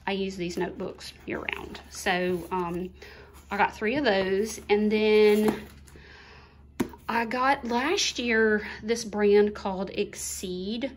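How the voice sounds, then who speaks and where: Slow, chatty mom showing a haul. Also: female voice, mid-range voice, steady tone, slight background noise. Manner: unhurried, chatty, listing items one by one. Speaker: vlogger, mother of two. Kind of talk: casual haul chat. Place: at home, at a kitchen table.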